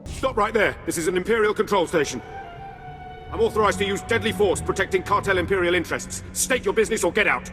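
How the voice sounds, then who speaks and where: An actor playing an officious, hostile imperial guard in a video game, barking with authority. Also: male voice, sits high, fast, long reverb tail, music in the background, natural voice. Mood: hostile, alarmed. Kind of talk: video game dialogue acting.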